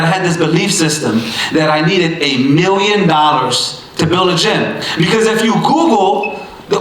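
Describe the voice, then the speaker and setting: High-voiced guy in his 30s doing a hype motivational speech, punchy, keeps repeating lines, big echoey hall. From Bangladesh. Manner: emphatic, punchy repetition, sometimes shouting. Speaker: motivational speaker. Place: event stage in a large hall.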